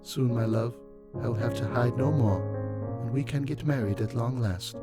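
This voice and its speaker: A tender shapeshifter mare in love, put on by a male reader, soft and affectionate. The voice sits a little above his natural one and lilts, with light music behind it. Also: medium pitch, normal speed, pitched above the performer's own voice, lightly acted. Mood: tender, hopeful. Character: tender, loving female changeling posing as model mare. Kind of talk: dramatic fan fiction reading.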